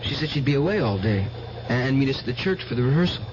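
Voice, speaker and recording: A male actor plays a young man eaten up by grief and revenge, defiant and intense, in a voice of medium pitch. It is 1970s radio drama, heard through a dull old broadcast with clear background noise. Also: expressive, quick pace, natural voice. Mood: uneasy, defensive. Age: young adult. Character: grief-stricken young nightclub performer, obsessed with revenge.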